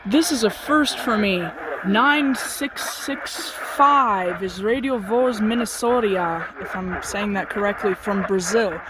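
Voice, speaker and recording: Radio hobbyist of unclear sex, mid pitch, casual and musing commentary, heavy shortwave static behind. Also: slow, hardly any pauses. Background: shortwave radio static and broadcasts.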